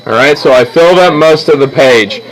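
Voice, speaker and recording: A man's voice: a little high, a little fast, low-quality recording. A man teaching a drawing lesson step by step in a casual way, often checking that his listeners follow. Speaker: art teacher.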